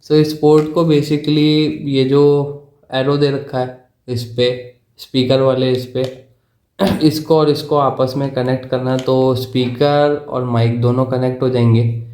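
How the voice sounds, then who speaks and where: A man giving a casual product explanation in a halting, repetitive way. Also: mid-range voice, flat delivery, darkish tone. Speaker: product reviewer. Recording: roomy, echoey sound.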